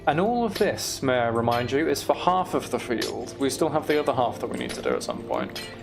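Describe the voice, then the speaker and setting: A man's voice: mid-range voice, very animated, brisk, creaky, music in the background. A British man giving casual video game commentary, thinking aloud as he plays. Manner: thinking aloud, mild swearing. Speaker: gamer narrating own play.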